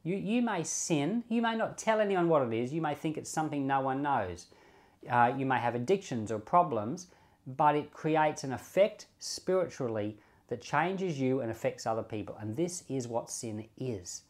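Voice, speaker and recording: Male Bible podcast teacher, medium pitch, informal and explanatory, reasons aloud and repeats key points with emphasis. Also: expressive, moderate pace. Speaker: pastor and Bible podcast host.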